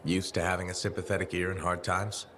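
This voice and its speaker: A wry, supportive adventurer in a video game. His voice sits low and stays conversational, with faint ambience in the background. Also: level tone, fast talker, bright, forceful tone, a little hiss or hum. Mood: wry, consoling. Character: supportive, wry freelance pilot hero, an adult man.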